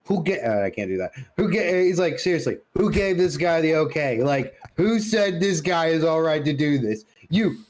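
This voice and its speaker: A man's voice: on the high side, very animated, moderate pace, few pauses, a little mellow. A man co-hosting a podcast in casual banter, teasing and often repeating himself for emphasis. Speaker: podcast co-host.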